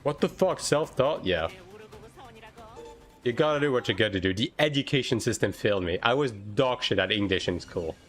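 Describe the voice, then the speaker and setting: A man's voice: fairly low pitch, lively intonation, normal speed, fairly bright tone, faint music behind. A man in his thirties from France, speaking English with a French accent in a casual chat with his live-stream viewers, often swearing and restarting his sentences. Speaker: game streamer.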